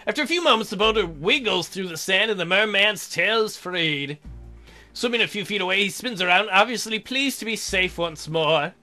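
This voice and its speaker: A man's voice: high voice, expressive, normal speed, pushed and bright, soft background music. A man hosting a let's play, reading a visual novel aloud with crude, irreverent joking asides.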